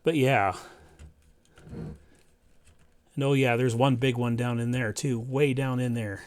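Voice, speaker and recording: A man's voice: mid-range voice, fairly even intonation, takes it slowly, darkish tone, slight background noise. Man casually narrating a repair, thinking aloud. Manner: thinking aloud, talking to the viewer. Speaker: host of an electronics repair video.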